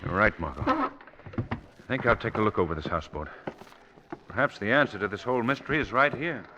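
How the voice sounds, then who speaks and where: An actor playing an inquisitive, well-spoken gentleman sleuth with an assured manner in a 1940s radio drama. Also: on the low side, animated, brisk, muffled recording, lightly acted. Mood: calm. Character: composed, inquisitive gentleman sleuth, bold adult man. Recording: noisy old radio recording.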